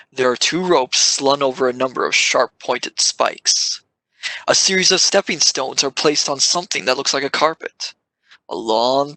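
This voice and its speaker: A man's voice: mid-range voice, bright, forceful tone, no echo, low-quality recording. A man reading scripted scene narration for an audio drama.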